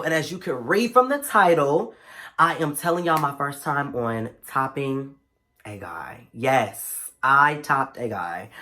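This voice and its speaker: A young man with a stuffy, congested voice, telling a story in a casual vlog in an animated, slangy and playful way. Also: medium pitch. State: congested from a cold. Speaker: vlogger.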